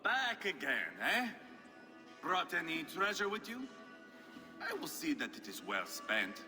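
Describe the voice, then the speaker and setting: An actor playing an unstable, sadistic Viking warrior in a video game, theatrical and crude, with a sneering, taunting manner. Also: male voice, a little high, wildly swooping pitch, unhurried, pushed and bright, faint music behind, exaggerated voice. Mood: scornful. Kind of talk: dramatic game cutscene acting.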